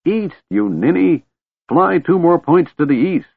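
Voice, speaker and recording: A cranky, excitable old wizard. The voice is raspy and pitched well up, jumping about as he blusters and sputters. He sounds scatterbrained and easily flustered. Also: measured pace, no room sound, phone-quality sound, heavily acted. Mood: exasperated. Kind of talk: audiobook fantasy character voice.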